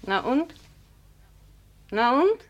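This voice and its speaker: A woman's voice: high voice, big pitch swings, extremely slow, dull audio, exaggerated voice. Hard, grasping landlady. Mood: harsh, threatening. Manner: sharp, bullying, insinuating. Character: hard, grasping, scheming lodging-house landlady. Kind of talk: 1950s German radio play acting.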